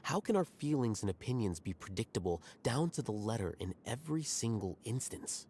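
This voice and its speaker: An actor playing a calm young male scholar in a video game, reasoning in a measured, articulate, lecturing way. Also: on the low side, a little hiss or hum. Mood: analytical, probing. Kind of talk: scripted video game dialogue.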